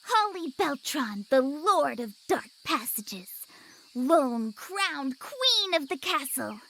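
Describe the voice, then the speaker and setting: A woman voicing an excitable, playful and slightly awkward girl in a visual novel game. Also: high-pitched, slow, creaky, full character voice. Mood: excited, playful.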